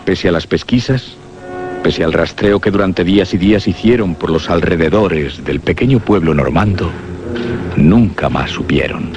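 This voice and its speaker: A man giving a dramatic, scripted radio narration of a horror story, with suspenseful pauses. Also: deep voice. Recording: muffled radio drama recording. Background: prominent music.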